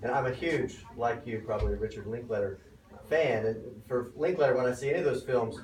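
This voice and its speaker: A man's voice: mid-range voice, brisk, a little mellow. A man in casual discussion, asking a question as an audience member and circling hesitantly around his phrasing. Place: bookstore event room. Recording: echoey room, distant, faint background noise.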